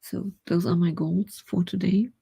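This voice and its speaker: A woman's voice: lowish voice, steady tone, a little slow, creaky, mellow, husky, no echo. A middle-aged German woman with a German accent, chatting casually and rambling as she hosts a writing livestream, often laughing.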